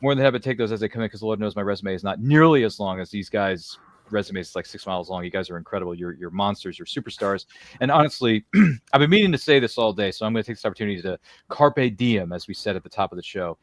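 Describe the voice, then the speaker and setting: A man hosts a casual livestream chat at a rapid clip. He is effusive and slangy, repeating and tripping over his words. The sound is dry, with very little room to it. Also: fairly low pitch, expressive, no room sound. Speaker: podcast host.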